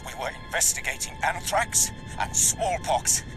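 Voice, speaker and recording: A man's voice: on the high side, expressive, moderate pace, music in the background, lightly acted, rough recording. An actor playing a desperate male researcher under interrogation in a spy game, strained and pleading. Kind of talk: cinematic spy game acting.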